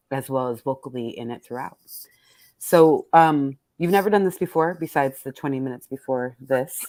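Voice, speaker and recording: A woman's voice: extremely low pitch, darkish tone, no echo. A woman speaking as a poet and host, casually and candidly introducing a poetry reading, salty-tongued and thoughtful as she searches for words.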